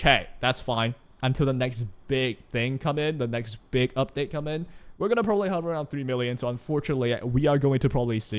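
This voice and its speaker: A young man who grew up speaking Chinese talks through game news in loose, rambling commentary. His voice sits at an ordinary middle pitch, and he keeps tossing in "right?" to check in with his viewers. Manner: chatty, rambling, frequent "right?" asides. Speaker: gaming YouTuber. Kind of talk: casual commentary.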